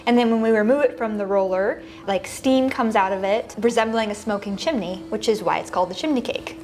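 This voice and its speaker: A woman's voice: mid-range voice, a little fast, a little mellow, slight background noise. A woman with an American accent giving informal, explanatory answers in an interview as a small business co-owner.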